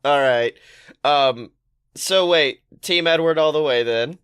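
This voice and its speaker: A woman's voice: deep voice, slow pace, clean tone. A woman co-hosting a podcast in casual banter, blunt, sweary and contrarian. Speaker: podcast co-host.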